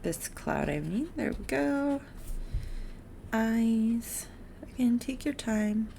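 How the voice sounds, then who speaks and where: A woman teaching art in a casual step-by-step drawing tutorial, encouraging and unhurried as she talks through each step while she works. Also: fairly high pitch, lively intonation, dark tone. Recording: clear background noise, drawing sounds.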